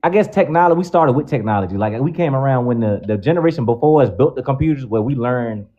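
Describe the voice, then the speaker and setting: A man's voice: mid-range voice, animated, quick pace, lo-fi sound. A man, telling rambling, profane stories in a casual group chat. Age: 20s. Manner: loud, profane storytelling, rambling with restarts.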